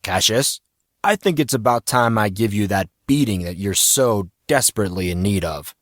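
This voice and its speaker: A man's voice: lowish voice, expressive, normal speed, no room sound, studio quality, lightly acted. A man voicing an aloof, scornful teenage know-it-all in an audiobook story, matter-of-fact and condescending. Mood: irritated.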